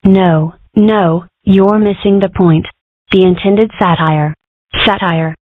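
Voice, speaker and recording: A woman's voice: mid-range voice, steady tone, slow pace, dry recording. A woman voicing a precocious, argumentative, mischievous young schoolgirl in a comic strip dub, talking in a wordy, theatrical way. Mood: indignant, wordy. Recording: muffled lo-fi phone-like home recording.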